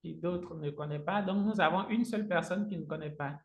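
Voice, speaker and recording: A man whose native language is Agni, teaching a live software tutorial step by step as an online trainer, often repeating his phrases. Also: fairly high pitch, a little fast, highs cut off. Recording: roomy, echoing sound.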